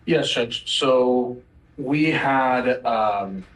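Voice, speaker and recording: A man speaking as a prosecutor, reporting facts to a court in a halting, hesitant way, in an echoey, noisy recording. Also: medium pitch. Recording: echoey room with background noise.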